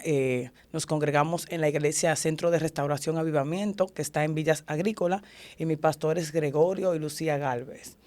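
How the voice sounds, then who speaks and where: A woman's voice: deep voice, a little mellow. A woman with a Dominican accent, a prophet and ordained minister, earnestly telling her life story as a guest in an interview. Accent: Dominican Spanish. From Dominican Republic. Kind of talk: testimony in an interview.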